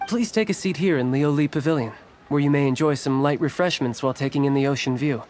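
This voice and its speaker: A man's voice: on the high side, fast, a little mellow, soft background music, natural voice. An actor voicing a polite, formal male merchant of a trade guild in a video game. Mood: gracious. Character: courteous middle-aged male merchant guild clerk.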